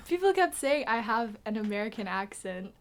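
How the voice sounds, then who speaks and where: A woman's voice: fairly high pitch, a little hiss or hum. Chatty teenage girl, slight British tinge. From Macau. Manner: chatty, filler-heavy, trails into soft half-whispers. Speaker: guest. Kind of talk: casual chat.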